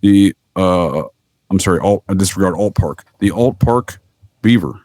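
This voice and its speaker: A man's voice: sits low, a little slow, a little mellow, no echo, clean studio sound. A man talking casually as a podcast guest, telling stories in a rambling, mock-formal way. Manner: rambling, mock-formal, addresses listener as sir. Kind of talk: casual conversational storytelling.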